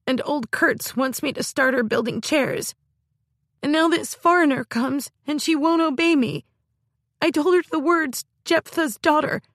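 A woman's voice: unhurried, no room sound, clean studio sound. A woman voicing a fierce, proud young woman who wails and sobs in a rage in an audiobook. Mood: furious wailing. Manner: shrill, wailing, tearful. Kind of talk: audiobook character voice.

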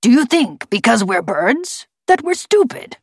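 A woman voicing a proud, distrustful male talking raven in an audiobook, with a forced gravelly voice and a formal, accusing way of speaking. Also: fairly high pitch, very animated, unhurried, no echo, studio quality, pitched above the performer's own voice, full character voice. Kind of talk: audiobook character voice.

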